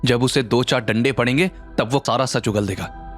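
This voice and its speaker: A man's voice: medium pitch, normal speed, close to an everyday voice. A man voicing a guilty, defensive male employee in an audio drama, agitated and deferential to his superiors. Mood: forceful, accusing. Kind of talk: dramatised audio series acting. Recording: music bed under the voice.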